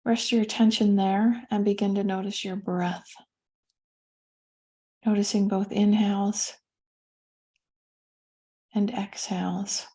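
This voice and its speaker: A woman leading a guided meditation as a teacher, speaking gently and soothingly with pauses between phrases. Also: mid-range voice, little pitch movement.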